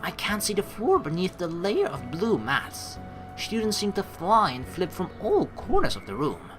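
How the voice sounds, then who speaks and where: A woman's voice: lowish voice, wildly swooping pitch, normal speed. A woman doing a playful let's play, reading game narration aloud and adding teasing, jokey asides. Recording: music behind the voice. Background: game music.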